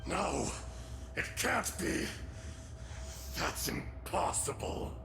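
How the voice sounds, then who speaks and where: Gravelly, vengeful ancient dragon. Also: male voice, on the high side, expressive, very slow, echoey room, heavy background noise, exaggerated voice. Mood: outraged. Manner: theatrical, scornful. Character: vengeful sealed ancient dragon, male, bitter and proud. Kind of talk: dramatic video game voice acting. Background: game music.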